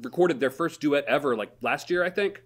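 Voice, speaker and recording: Podcast host, mid pitch, supportive, lots of "mm-hmm". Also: expressive, a little fast. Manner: encouraging, frequent affirmations. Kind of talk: casual podcast interview.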